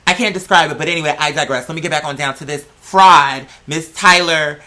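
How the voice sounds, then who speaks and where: A man telling personal stories as a host in a casual, sassy, dramatic way, with animated emphasis and lots of slang and swearing. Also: fairly high pitch, a little fast. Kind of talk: casual chat, personal storytelling.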